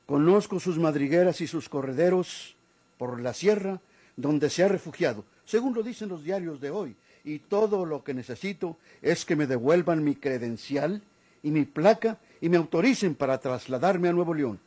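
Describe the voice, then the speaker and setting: A man's voice: fairly high pitch, expressive, normal speed, warm tone. An older Mexican man acting in a golden-age radio drama as a proud retired policeman, formal and deferential yet stubbornly insistent. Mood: aggrieved, insistent. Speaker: actor.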